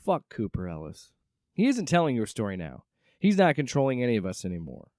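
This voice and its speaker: An actor playing a wry, exasperated agency director in an audio drama, with a casual way of talking. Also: male voice, medium pitch, wildly swooping pitch, a little fast, a little mellow, dry recording, clean studio sound. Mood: sympathetic.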